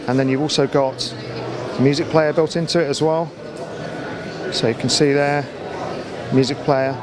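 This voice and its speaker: A man's voice: on the high side, fairly even intonation, normal speed. A middle-aged man explaining products informally in a trade show interview. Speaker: company account manager presenting products. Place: large indoor exhibition hall. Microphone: handheld interview microphone. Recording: busy crowd noise behind. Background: trade show crowd hubbub.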